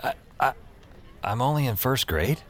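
A man's voice: mid-range voice, lively intonation, moderate pace, slight background noise, natural voice. An actor playing a wry, awkward man who takes calls, in slangy audio drama narration and dialogue. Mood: unsure, eager. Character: wry, awkward male call-taker new to a magical world.

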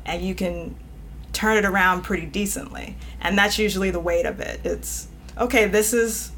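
A woman guest, an editor, talking casually in an interview, animated and often laughing, with many "you know" fillers. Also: medium pitch, normal speed, a little hiss or hum. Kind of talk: conversational interview.

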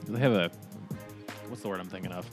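A man's voice: sits low, fast talker, a little mellow, faint music behind. A man co-hosting a casual sports podcast, teasing and bantering with the host.

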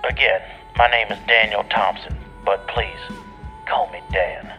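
Gravelly, folksy older outdoorsman. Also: on the low side, fairly even intonation, unhurried, sharp tone. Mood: earnest, neighborly. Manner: plainspoken, humble, folksy. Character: folksy older outdoorsman offering his tracking services. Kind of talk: audio drama voicemail monologue. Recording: muffled radio-like call, music behind.

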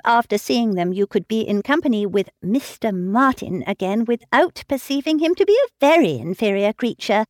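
Woman playing a condescending young lady in an audiobook, crisp and lively, dry close sound. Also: a little high, no echo, pitched above the performer's own voice. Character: self-assured, snobbish young gentlewoman, lecturing.

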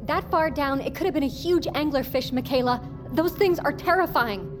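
An actress playing an outraged, defiant crew member in an audio drama, confrontational, sarcastic and emphatic. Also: female voice, sits high, moderate pace, music in the background, lightly acted. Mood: incredulous, sarcastic.

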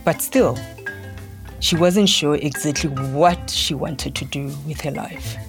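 A woman with a fairly low voice narrates a children's story over clear background music. Her delivery is scripted and measured, with deliberate pauses between phrases. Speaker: podcast narrator.

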